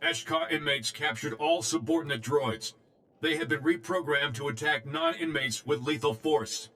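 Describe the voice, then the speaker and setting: Bright, formal prison droid. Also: male voice, a little high, moderate pace, a little hiss or hum. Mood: flat, official. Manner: formal, monotone, terse machine phrasing. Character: emotionless male warden security droid, formal and clipped. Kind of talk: video game acting.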